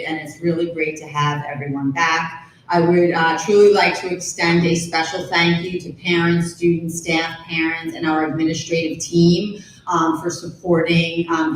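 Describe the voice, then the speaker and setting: Low, flat-voiced woman in an echoey hall. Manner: upbeat, enthusiastic, many ums. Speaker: assistant superintendent for curriculum. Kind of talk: school board meeting report. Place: school board meeting room. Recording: echoey room from far off, faint rumble.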